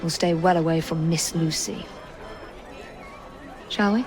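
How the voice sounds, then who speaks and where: A woman's voice: sits low, steady tone, a little slow, a crowd in the background. A woman playing a determined, bookish young Victorian assassin in a video game, composed and formal. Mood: intent, eager. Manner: composed, formal, dry, assured. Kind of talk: video game cutscene acting.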